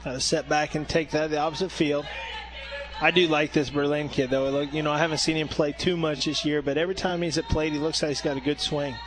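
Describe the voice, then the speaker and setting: A man giving easygoing color commentary on a baseball radio broadcast, with a soft-spoken manner. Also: fairly high pitch, level tone, a little fast.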